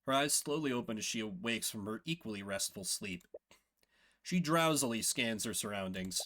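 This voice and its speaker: Man narrating a game with lively pitch. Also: normal speed. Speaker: streamer, solo voice performer.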